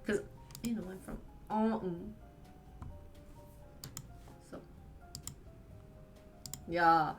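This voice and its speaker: A woman with a Wisconsin accent from the United States, chatting casually with viewers as a live streamer and firing quick questions back at them. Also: lowish voice, faint music behind. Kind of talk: casual chat answering viewers.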